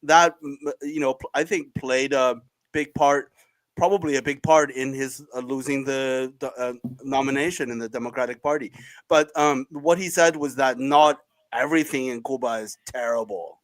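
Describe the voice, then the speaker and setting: A man in a casual political discussion, measured, correcting others and stumbling a little as he starts. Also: mid-range voice, steady tone, no echo, rough recording.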